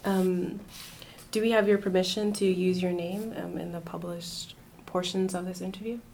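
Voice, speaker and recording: A woman's voice: lowish voice, fairly even intonation, moderate pace, creaky, noisy background. A woman interviewing someone for an oral history project, asking short, polite questions.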